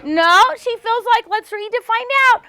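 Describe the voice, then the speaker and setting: A woman's voice: very high-pitched, moderate pace, bright, forceful tone, a little hiss or hum. A woman teacher reading a storybook aloud to young children, asking simple questions and repeating key words with emphasis. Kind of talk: interactive storybook read-aloud lesson.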